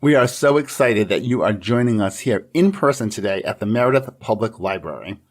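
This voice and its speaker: A man's voice: lowish voice, lively intonation, brisk. A man hosting a podcast, interviewing in a polite, playful, partly scripted style.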